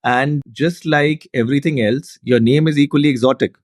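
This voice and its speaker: A man's voice: fairly high pitch, moderate pace, no room sound, clean studio sound. A middle-aged man hosting a podcast, reading a formal introduction and asking interview questions in a polished, courteous way. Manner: polished, formal, with warm praise. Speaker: podcast host.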